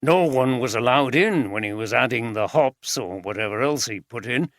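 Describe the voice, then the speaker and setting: A man's voice: medium pitch, animated, normal speed, a bit bright, dry recording, clean studio sound, lightly acted. A man voicing a scornful, plain-spoken brewery cooper. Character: scornful middle-aged male brewery cooper.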